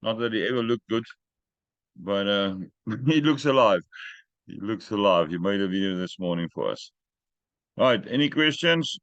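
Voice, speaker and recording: Man, call host, english not his first language, blunt and halting, muffled dry sound. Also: on the low side, lively intonation, a bit bright, no room sound. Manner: direct, plain-spoken, halting. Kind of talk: casual group call chat.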